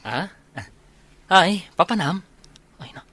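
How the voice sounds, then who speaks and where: A man's voice: high voice, expressive, takes it slowly, bright, forceful tone, heavily acted. A man playing a teasing young soldier who gives his buddy love advice, with an Ilocano accent, in a comedy radio drama. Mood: flustered.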